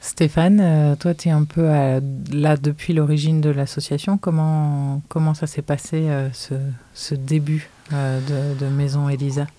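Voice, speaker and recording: A man hosting a radio interview, introducing his guests and asking calm, measured questions. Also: fairly high pitch. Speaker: radio host. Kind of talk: interview host.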